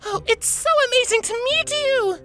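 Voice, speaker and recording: A woman voicing an excitable, adoring little unicorn filly with a squeaky, eager voice for a story. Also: normal speed, music in the background. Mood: gushing, excited. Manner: gushing, breathlessly eager.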